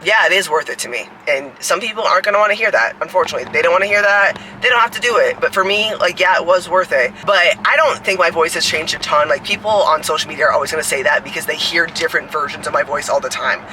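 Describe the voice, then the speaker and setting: A woman in her mid-twenties with a deep, raspy voice, rambling casually to a phone camera outdoors, full of "like" fillers and swearing. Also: quick pace, few pauses, sharp tone, a little hiss or hum, rough recording. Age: 20s. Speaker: fitness coach and creator. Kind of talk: casual vlog talk to camera.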